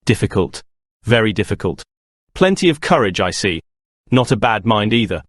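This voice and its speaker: A sly old talking hat murmurs low and thoughtful, as a man puts it on. The storybook voice is hushed and close. It sounds dry, with no room around it. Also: sing-song, moderate pace, no echo. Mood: musing.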